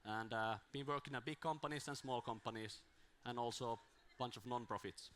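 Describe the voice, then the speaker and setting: A man's voice: medium pitch, fairly even intonation, brisk, a bit bright, slight background noise. A man with as his giving an informal, self-deprecating conference talk on a handheld mic in a small room, his voice strained from talking too much. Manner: self-deprecating, rambling with restarts. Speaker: conference speaker.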